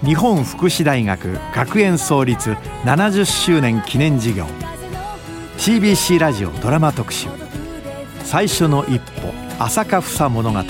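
A male announcer reads scripted radio credits. His mid-range voice moves with lively, varied intonation. Music plays clearly behind him. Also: mid-range voice. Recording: studio broadcast over music.

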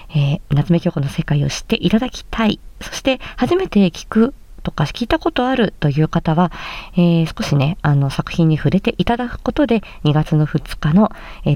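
A woman's voice: sits low, dark tone, dry recording. A woman hosting a casual solo audio stream, talking in a chatty, polite, explanatory way. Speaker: host and amateur voice actress.

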